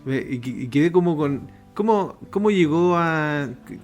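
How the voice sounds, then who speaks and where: Upbeat young podcast host. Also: male voice, a little high, animated, measured pace, a little mellow, soft background music. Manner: upbeat, chatty, laughs easily. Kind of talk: podcast interview.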